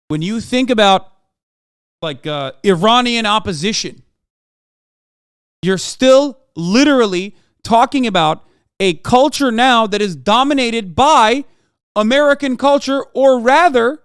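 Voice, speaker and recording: A man's voice: very high voice, lively intonation, measured pace, fairly bright tone, clean tone, dry recording, studio quality. A man from Turkey, in his thirties, giving heated, profane reaction commentary as a streamer, lecturing and emphatic.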